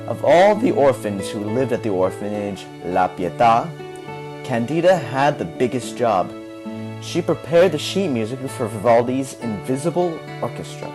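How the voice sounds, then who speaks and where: A man narrates a children's storybook with lively, swooping intonation and a dramatic touch. His mid-pitched voice moves expressively through each phrase, with music playing clearly behind him. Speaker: storyteller reading a children's book aloud.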